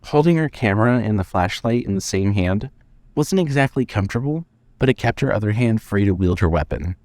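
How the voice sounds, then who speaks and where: A man's voice: lowish voice, expressive, brisk, a little mellow, dry recording. A man narrating scripted suspense fiction as the storyteller of an audio drama.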